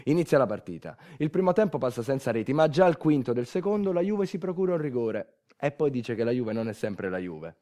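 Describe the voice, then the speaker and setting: A man's voice: medium pitch, very animated, brisk, hardly any pauses, warm tone, close to an everyday voice. A man acting a theatrical monologue as a wry everyman storyteller, colloquial. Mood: wry, nostalgic. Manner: wry, colloquial, repeating catchphrases. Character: wry everyman storyteller recalling football and old times. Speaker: actor narrating a monologue.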